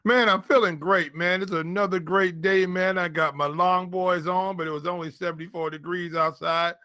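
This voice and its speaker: A man's voice: high voice, fairly even intonation, normal speed, sharp tone, no echo, studio quality. A man co-hosting a sports show in casual chat, informal and colloquial, speaking straight to the listeners. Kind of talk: casual fantasy football chat.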